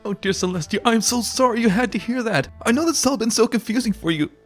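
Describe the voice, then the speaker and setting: A man's voice: very high-pitched, quick pace, soft background music, voice pushed up. A man voicing a nervous, lovestruck young male pony medic, stammering and apologetic as he blurts things out in a dramatic reading. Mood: mortified.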